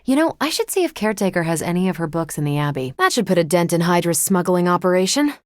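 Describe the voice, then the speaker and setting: A woman's voice: fairly low pitch, big pitch swings, fast, no room sound. Young American superhero woman, video game hero, confident, wry, casual banter, upbeat leader, very dry studio sound.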